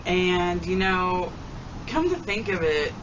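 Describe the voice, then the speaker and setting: A woman's voice: fairly low pitch, expressive, slow. Folksy woman rambling from across the room. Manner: folksy and chatty, with homespun phrases. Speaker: vlogger doing a tag video. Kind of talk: rambling casual storytelling. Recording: distant mic, dull sound, noisy background.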